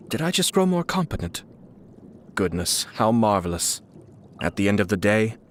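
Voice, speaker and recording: A man voicing a suave, scheming young nobleman and clan head from a video game, with polished, formal, measured speech and a slyly amused, teasing politeness. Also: deep voice, a little hiss or hum. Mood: smug, courteous. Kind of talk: video game character voice lines. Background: music.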